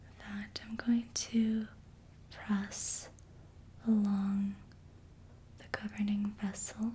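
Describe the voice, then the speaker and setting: Woman, breathy ASMR whisper, slow delivery, soothing guided relaxation, faint background rumble. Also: flat delivery. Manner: slow, soothing, gently instructive. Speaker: ASMR reiki practitioner.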